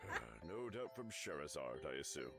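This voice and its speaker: A man's voice: extremely low pitch, very animated, moderate pace. A man voicing an easygoing, wry middle-aged father in a video game. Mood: exasperated. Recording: clear music under the voice.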